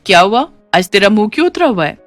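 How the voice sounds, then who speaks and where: A woman's voice: mid-range voice, sing-song, fast, faint music behind, close to an everyday voice. Woman playing a kindly lady of the house. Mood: brisk. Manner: kindly, informal, instructing. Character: kind, considerate middle-class lady employer of a maid.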